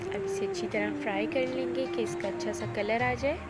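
A woman calmly explains each cooking step in a voice-over, speaking directly to viewers. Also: on the high side, moderate pace. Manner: calm, instructive, addresses viewers directly. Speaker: cooking channel host. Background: loud background music.

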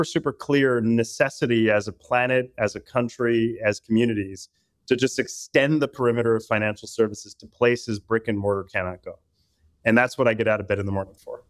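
Podcast guest from Puerto Rico, mid-pitched man, articulate with frequent restarts, dry close sound. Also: normal speed, dry recording. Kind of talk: casual interview talk.

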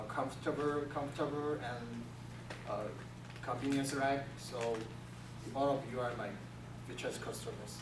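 A young man from South Korea with a Korean accent, giving a class presentation in halting second-language English with many restarts. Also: medium pitch. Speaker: student presenter. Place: classroom with projector screen. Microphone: far camera. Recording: echoey room from far off, room hiss.

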